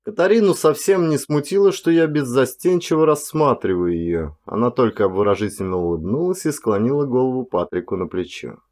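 A man reading a first-person story as an audiobook narrator, in an expressive, dramatic storytelling manner. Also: medium pitch, fairly quick, few pauses.

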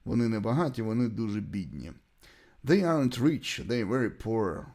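Ukrainian-accented male teacher, very lively intonation. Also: lowish voice. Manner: deliberate, repeats short sentences. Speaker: English teacher. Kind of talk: bilingual language lesson, reading example sentences and translations.

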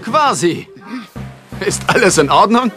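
A man's voice: sits high, big pitch swings, a little slow, a bit bright, soft background music, full character voice. A man voicing an earnest, caring heroic cartoon animal captain in a children's audio drama. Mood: urgent.

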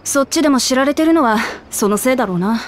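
A woman's voice: high-pitched, expressive, fast talker, slight background noise. A woman voicing a brash, hearty young lioness warrior in a Japanese video game, speaking bluntly like a tomboy. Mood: matter-of-fact explaining. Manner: blunt, rough tomboyish speech.

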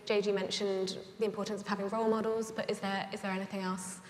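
Woman, panel moderator, friendly and brisk, conference stage, echoey hall. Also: mid-range voice, flat delivery, a little hiss or hum. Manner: friendly, brisk, keeps time. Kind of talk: live panel discussion. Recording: roomy hall with long reverb.

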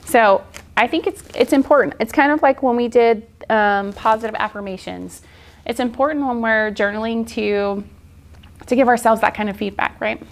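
A woman's voice: fairly high pitch, normal speed. A woman in her forties giving an informal, encouraging art journaling tutorial in a casual style. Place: indoor art studio, seated at work table.

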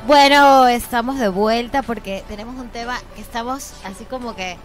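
A woman's voice: fairly high pitch, steady tone, moderate pace. A woman, chatting casually as a radio host in a studio. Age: 40s. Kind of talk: casual radio panel chat. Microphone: studio broadcast microphone. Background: music bed.

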